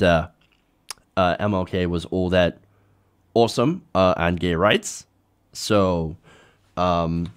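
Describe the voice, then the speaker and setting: An American man hosting opinionated political commentary, speaking emphatically and punctuating his points with rhetorical questions. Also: fairly low pitch.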